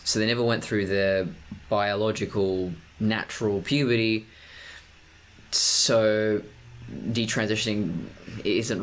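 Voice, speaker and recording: A young adult man with a deep voice, talking to camera in a personal vlog, pacing his words deliberately with frequent restarts. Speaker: vlogger.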